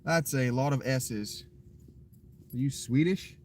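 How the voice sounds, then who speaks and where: A man's voice: mid-range voice, slow pace, a little mellow, a little hiss or hum. A man streaming and chatting with viewers in a joking, rambling way, swearing freely. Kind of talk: casual chat.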